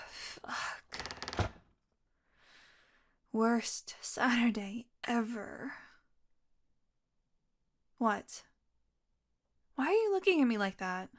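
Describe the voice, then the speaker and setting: A sardonic, flirty vampire woman, played by a voice actress for an intimate audio roleplay. Her voice is fairly high and she takes her time, teasing like a casual predator. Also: big pitch swings, very slow, long pauses, full character voice. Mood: wounded, pained.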